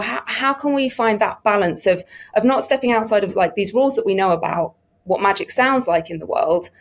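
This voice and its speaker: A woman with a mid-range voice speaks as an audio producer. She explains her work in an unscripted interview style. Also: medium pitch.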